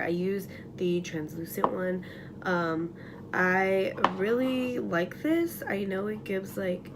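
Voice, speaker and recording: A young woman with a raspy morning voice chatting casually through a makeup tutorial at home, full of likes and ums and trailing off into whispers. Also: lowish voice, noisy background. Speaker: beauty vlogger. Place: at home, getting ready.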